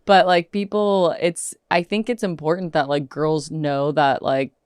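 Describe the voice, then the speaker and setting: A woman in her thirties with a low voice hosts a casual podcast chat. She swears freely and slips into playful quoted voices. The sound is dry and close. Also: steady tone, unhurried, darkish tone, clear, resonant tone, no room sound. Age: 30s. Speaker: podcast host.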